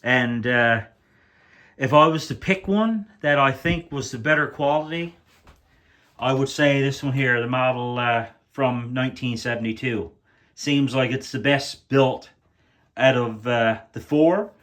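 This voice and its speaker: Slow-talking man with many pauses. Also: mid-range voice, fairly bright tone. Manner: slow, hesitant, many fillers and restarts. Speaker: radio collector. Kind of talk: casual show-and-tell commentary. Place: indoors, home room with radios on floor.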